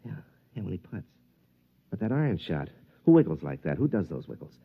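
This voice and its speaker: An American actor playing a proud, determined, suspicious championship golfer in an old-time radio drama, with an intense, musing delivery. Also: male voice, low-pitched, sing-song, a little fast, gravelly, husky, exaggerated voice. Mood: puzzled, intent. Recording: dull vintage radio recording.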